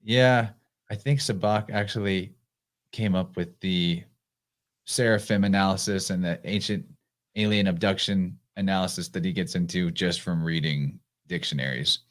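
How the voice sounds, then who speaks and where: A man hosting a live podcast in casual chat, relaxed and reading out listener comments as he goes. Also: low-pitched, moderate pace. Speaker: podcast host.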